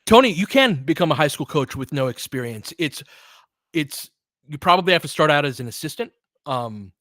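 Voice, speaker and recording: A man's voice: a little high, sing-song, normal speed, a bit bright, no echo. American man, dry-sounding casual basketball commentary. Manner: conversational, analytical, explains tactics. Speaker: basketball commentator and host of a live stream. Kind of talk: casual live play-by-play commentary with chat.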